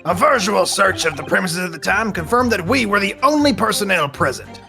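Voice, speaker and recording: A man doing a high, gravelly officious officer. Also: animated, a little fast. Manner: formal, officious. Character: stiff, officious uniformed male officer, formal and condescending. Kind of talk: live comedic fan dub of a video game. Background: game music.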